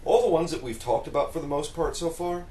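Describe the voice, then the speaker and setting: A man talking casually on a panel, explaining his opinions at length and deliberately. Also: on the high side, mellow. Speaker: podcast co-host. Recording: roomy echo, faint background noise.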